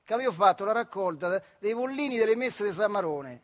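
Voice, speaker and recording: A man's voice: high voice, animated, brisk. An actor playing a working-class factory man, telling nostalgic, wry stories in a colloquial theatrical monologue with a central Italian accent from the Marche. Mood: animated storytelling. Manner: colloquial, acting out dialogue. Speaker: stage actor, solo storyteller. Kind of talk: theatrical monologue storytelling in dialect.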